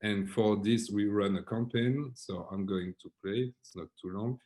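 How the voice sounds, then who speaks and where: A man from Belgium with a French accent, giving an online workshop presentation in a relaxed, filler-heavy way over a video call. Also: lowish voice, normal speed. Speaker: workshop presenter, creative director.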